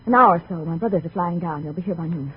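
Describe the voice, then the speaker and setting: A woman's voice: fairly low pitch, quick pace, slightly rough. An American actress playing a desperate, pleading, stubborn young woman in a 1950s radio drama. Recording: muffled, phone-like old radio recording.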